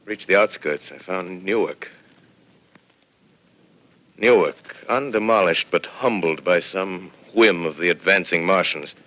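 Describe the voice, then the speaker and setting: A brooding lone survivor. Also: male voice, low-pitched, measured pace. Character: lone shaken male survivor, an astronomer. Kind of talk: 1930s radio drama acting. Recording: dull lo-fi old radio broadcast.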